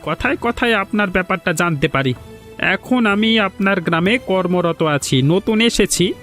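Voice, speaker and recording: Bold cartoon undercover cop played by a man: high-pitched, assured, challenging, light music behind. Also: lively intonation, a little slow, fairly bright tone. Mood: confident, stern. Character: bold undercover village policeman, confident and defiant. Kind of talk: cartoon voice acting.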